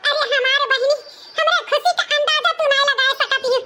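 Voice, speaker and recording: A woman voicing a doting but bossy village mother-in-law in a comedy cartoon, effusive, with a Bhojpuri accent and a pitched-up voice. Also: steady tone, brisk, pushed and bright. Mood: overjoyed.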